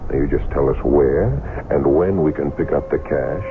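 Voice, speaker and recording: A man's voice: extremely low pitch, normal speed, background noise. An actor playing a pushy, threatening male gangster in a 1970s radio drama. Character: menacing male gangster enforcer, impatient and threatening. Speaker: mobster. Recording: lo-fi phone-band old radio recording with music.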